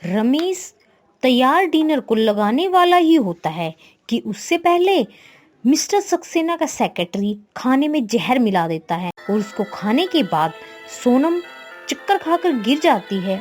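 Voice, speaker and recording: A woman's voice: fairly high pitch, big pitch swings, a little slow, a little mellow, faint music behind. A woman narrating a scripted storybook tale.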